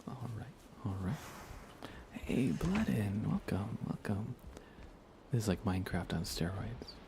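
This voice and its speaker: A young man softly murmuring on an ASMR gaming livestream in an unhurried, casual way, often close to a whisper, near the mic. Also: on the low side, animated, noisy background. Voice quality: soft, hushed, often slipping into breathy whisper. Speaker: livestream host.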